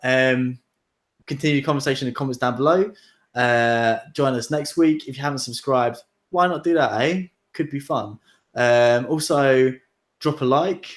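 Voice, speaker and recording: A man's voice: mid-range voice, moderate pace. A man hosting a casual chat show, rambling with lots of fillers and laughs. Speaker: podcast host.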